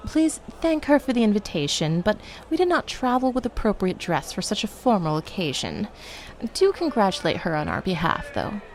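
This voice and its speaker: A woman's voice: medium pitch, big pitch swings, moderate pace, a little hiss or hum, poor audio. A woman voicing a gracious, silver-haired female cleric with polite, formal speech.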